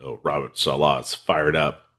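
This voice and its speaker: Congested deep-voiced man hosting. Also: level tone, a little slow, a bit bright. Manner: laid-back, rambling with many fillers. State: congested from a head cold. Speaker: podcast host. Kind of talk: casual fantasy football chat.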